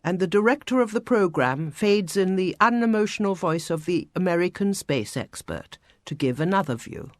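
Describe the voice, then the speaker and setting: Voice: medium pitch, lively intonation, normal speed, few pauses, no echo. Casting: story narrator, scripted audiobook read in a very dry studio.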